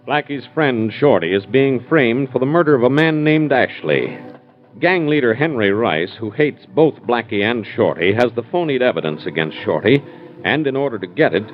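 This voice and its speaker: A man's voice: medium pitch, animated, normal speed, faint music behind. A man announcing a 1940s radio drama in scripted narration. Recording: muffled 1940s radio recording.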